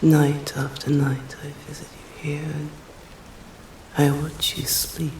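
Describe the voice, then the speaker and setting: Woman whispering as a slow, stern English vampire. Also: low-pitched, level tone, roomy sound, slight background noise, rough recording. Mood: hushed, tender. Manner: slow hushed whispering, formal old-fashioned phrasing. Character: stern, hungry yet protective female vampire. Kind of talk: ASMR voice roleplay.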